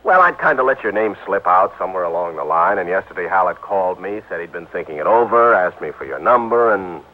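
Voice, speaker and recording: A man's voice: sits low, expressive, brisk, phone-quality sound. An American actor playing a chummy, grumbling, folksy married insurance man in a 1950s radio drama. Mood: confiding, grumbling. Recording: old band-limited radio broadcast recording.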